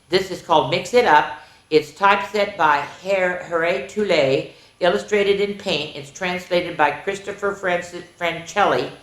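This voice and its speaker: A woman hosting a children's story time, reading a picture book aloud in an animated, sing-song, question-asking way. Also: lowish voice. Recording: roomy, echoey indoor sound.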